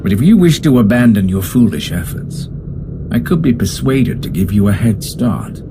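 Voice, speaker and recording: Bitter, lively-voiced Imperial officer. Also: male voice, lowish voice, moderate pace, noisy background. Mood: proud defiance. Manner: formal, sardonic, theatrical. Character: bitter, proud male Imperial officer, defiant and disdainful. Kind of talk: scripted video game acting. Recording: music behind the voice.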